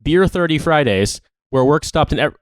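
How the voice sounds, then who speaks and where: A man's voice: fairly high pitch, animated, moderate pace, dry recording. A man co-hosting a podcast, reading a long article aloud. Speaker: podcast co-host.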